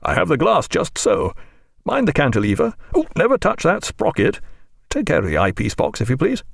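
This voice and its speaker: A man voicing a bluff naval captain who talks in a brisk, fussing, instructive way. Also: on the high side, huge pitch swings, creaky, breathy edge, no echo, studio quality, pitched above the performer's own voice, exaggerated voice. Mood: fussy. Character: bluff, fussy naval captain fond of gadgets.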